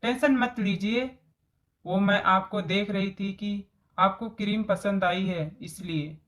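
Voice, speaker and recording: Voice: mid-range voice, steady tone, slow, a bit bright. A voice actor playing a friendly, helpful young woman in a story cartoon, speaking politely. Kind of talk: Hindi moral story cartoon dialogue.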